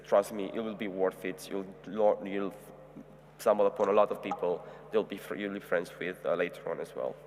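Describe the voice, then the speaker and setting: A man's voice: deep voice, darkish tone. A man in his twenties from Romania, a university student giving unscripted advice to new students on a panel. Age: 20s. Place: large echoing hall. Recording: reverberant hall, humming background noise.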